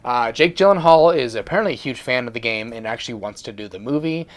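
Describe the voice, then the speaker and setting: A man co-hosting a casual entertainment news discussion, speaking informally and a little haltingly with repeated words. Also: mid-range voice, lively intonation. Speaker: co-host of a video game and movie discussion show.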